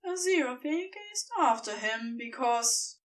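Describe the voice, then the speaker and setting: Female fiction narrator: tender, high-pitched, unhurried, slightly off the microphone. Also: distant mic. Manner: expressive, tender storytelling.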